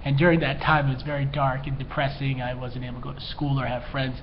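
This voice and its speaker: A man's voice: mid-range voice, little pitch movement, fast talker. Earnest, slightly tearful man, dull lo-fi sound. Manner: earnest, heartfelt, pleading. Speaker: mental health educator, therapist and coach. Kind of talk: earnest personal talk to camera. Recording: dull lo-fi sound, faint background noise.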